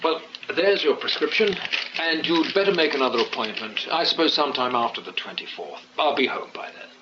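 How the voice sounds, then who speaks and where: An actor playing a sensible British family doctor in a radio drama, measured and matter-of-fact. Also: male voice, mid-range voice, animated, close to an everyday voice. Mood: affable. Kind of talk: 1980s BBC radio drama acting. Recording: muffled radio broadcast with hiss.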